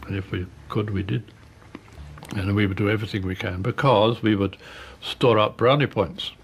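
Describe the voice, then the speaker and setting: A man recalling things candidly in an interview, halting and matter-of-fact, with old-fashioned turns of phrase. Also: lowish voice, steady tone. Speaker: interviewee, former party whip. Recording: archive interview with background noise.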